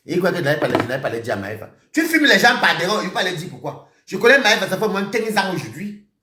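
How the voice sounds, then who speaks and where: A man with a slightly high voice delivers an accusatory rant on a live stream. His pitch swings widely as he repeats his points. He shifts between shouting and muttering, and the room gives off a clear echo. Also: very animated, quick pace.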